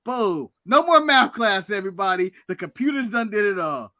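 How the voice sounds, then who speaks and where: Lively, bright-voiced joking man. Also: high-pitched, moderate pace, no echo, studio quality. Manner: profane, joking, animated. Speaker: podcast co-host. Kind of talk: casual podcast banter.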